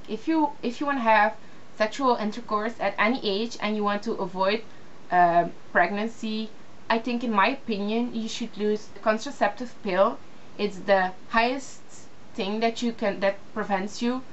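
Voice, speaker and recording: A young woman from Belgium speaks English as a second language, pausing often with fillers and restarting her sentences. Her voice sits at a middle pitch and comes through slightly dull, lo-fi webcam audio with a faint hiss behind it. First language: not English. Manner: hesitant, many fillers and restarts. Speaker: vlogger. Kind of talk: casual video response.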